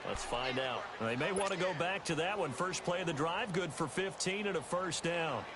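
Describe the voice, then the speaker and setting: An actor playing an American play-by-play football announcer in a video game, with a brisk, polished broadcast delivery. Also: male voice, fairly high pitch, animated. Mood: focused, brisk. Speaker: play-by-play sports commentator. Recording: very noisy game stream, stadium ambience.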